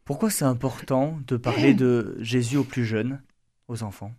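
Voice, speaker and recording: A man's voice: mid-range voice, fairly even intonation, moderate pace, darkish tone. A man hosting a radio interview, putting questions to his guest politely and formally.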